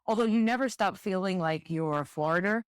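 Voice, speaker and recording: Interview guest: middle-aged woman, mid-pitched, reflective, dry close mic. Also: brisk, clear, resonant tone, no room sound. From United States. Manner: thoughtful, candid, repeats words while searching. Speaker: guest, director of student housing. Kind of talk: relaxed interview conversation.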